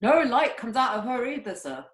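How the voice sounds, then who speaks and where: A woman's voice: a little high, sing-song, moderate pace, slight background noise. Woman playing a deferential butler, roomy and swooping. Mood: formal, servile. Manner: formal, hesitant, servile. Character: male butler Barrymore, shifty, deferential, deadpan. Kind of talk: comic amateur radio play reading. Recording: roomy internet call recording.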